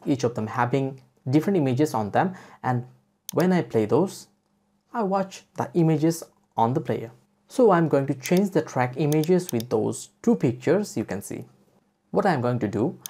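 A woman presenting a software tutorial as a step-by-step voice-over. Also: deep voice, husky. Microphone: voice-over for screen recording.

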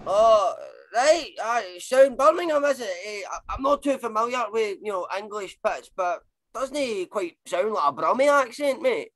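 A woman's voice: fairly high pitch, expressive, normal speed, fairly bright tone, rough recording. An actress playing a chatty, blunt, sweary Glaswegian woman with slangy matey talk in an audio comedy. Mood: friendly, curious. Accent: Glaswegian Scottish.

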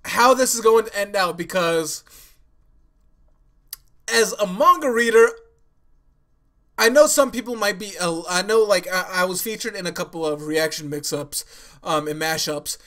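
A young man hosts his reaction video from a small bedroom, speaking into a headset. His voice sits high and bright, though a stuffy nose and sore throat muffle it. He rambles casually, laughing and restarting his sentences. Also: moderate pace, long pauses. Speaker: anime reaction host. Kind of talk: casual chat to camera. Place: small bedroom with yellow walls.

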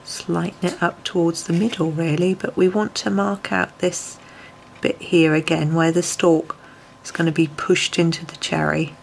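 A woman, a little croaky, gently talking through a colouring tutorial at her desk, often dropping to a near whisper. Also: on the low side, flat delivery, normal speed, a little hiss or hum, low-quality recording. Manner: soft-spoken, often drops to a near whisper. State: slightly croaky voice. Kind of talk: relaxed step-by-step tutorial. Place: home desk, filmed from above.

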